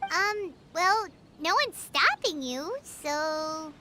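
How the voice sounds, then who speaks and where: A woman voicing a tiny, cheeky, excitable childlike companion in a video game, bossy and teasing. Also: very high-pitched, very slow, exaggerated voice. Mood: wry.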